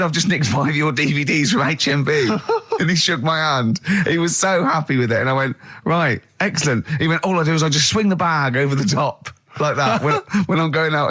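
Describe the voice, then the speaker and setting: A man's voice: a little high, a bit bright. A man in comic radio banter, teasing and giggly, often acting out little dialogues. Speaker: radio show co-host.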